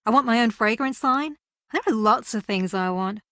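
Game role: actress as fame-hungry female contestant, dreamy, higher than average, close dry sound. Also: normal speed, fairly bright tone, no echo, lightly acted. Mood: dreamy, eager. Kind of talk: scripted video game voice acting.

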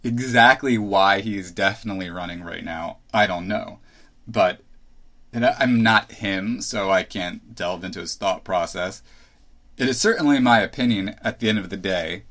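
A man's voice: sits low, normal speed, a bit bright. A man in his thirties hosting a solo podcast, thinking aloud with restarts and asides as he gives his opinions. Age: 30s. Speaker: podcast host.

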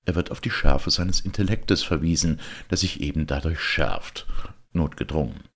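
Very deep, gravelly man reading literature. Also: fairly bright tone. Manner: deliberate, with emphatic pauses. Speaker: reader of a literary text. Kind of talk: expressive literary reading, scripted narration.

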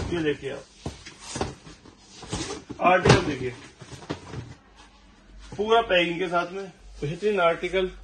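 Guy in his 30s with a high voice selling shoes to camera, echoey warehouse, mic kinda far off. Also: heavy background noise. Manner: pitching stock, repeating "thik hai ji". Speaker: shoe wholesaler. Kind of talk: sales pitch to camera. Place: large tiled warehouse stacked with shoe boxes.

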